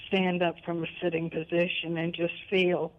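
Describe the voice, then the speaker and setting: Elderly female caller in her eighties, halting, level delivery over a thin phone line. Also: lowish voice, level tone. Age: 80s. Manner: slow, halting, repeats herself. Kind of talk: call-in question.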